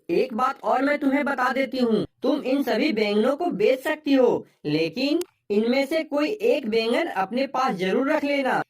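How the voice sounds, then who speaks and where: A kind fairy from a cartoon story, put on by a woman narrator. She speaks gently, giving careful instructions. The voice is pitched a little above the narrator's own. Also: recorded from a distance.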